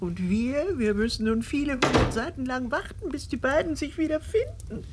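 An excitable, sentimental aunt in a radio drama. Her high voice swings animatedly as she coaxes and gushes theatrically. Loud music plays behind her. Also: normal speed. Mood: excited, gushing. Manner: gushing, theatrical, coaxing. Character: excitable, sentimental old aunt fussing over cat and guests. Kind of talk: German radio drama acting.

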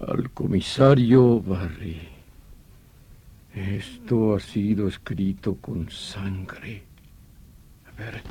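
An actor playing a stern, grave police commissioner in an old-time radio drama, with weighty, dramatic delivery. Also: male voice, mid-range voice, mellow.

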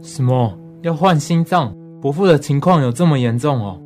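An actor playing a concerned, gently teasing older male friend in a radio drama, casual and inquisitive. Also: on the high side, animated, normal speed, faint music behind, natural voice. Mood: shocked, concerned.

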